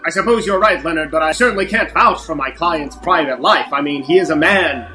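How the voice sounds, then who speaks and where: Bright man as an evasive Hollywood agent. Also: on the high side, brisk, soft background music. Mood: nervous, evasive. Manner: hedging, repeats himself. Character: evasive, eager-to-please male Hollywood talent agent. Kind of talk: improvised old-time radio drama parody.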